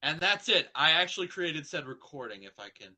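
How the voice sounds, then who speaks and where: A man's voice: a little high, big pitch swings, fairly quick, bright, forceful tone. A man hosting an online group call in casual chat, rambling and stammering, easily distracted.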